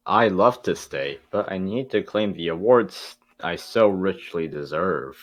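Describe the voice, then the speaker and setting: A man voicing a gloating mad scientist villain in a cartoon table read, with theatrical, drawn-out proclamations. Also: sits low, lively intonation. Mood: smug.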